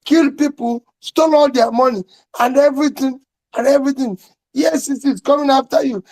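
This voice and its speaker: A man's voice: extremely high pitch, steady tone, brisk, a little mellow. A man speaking at a public event in short, blunt, emphatic sentences.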